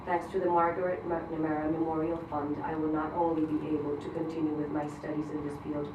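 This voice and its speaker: A woman from Colombia, a Spanish speaker, giving an earnest, measured prepared speech as a grant recipient. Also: sits low, little pitch movement, highs cut off. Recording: roomy, distant mic, noisy event hall.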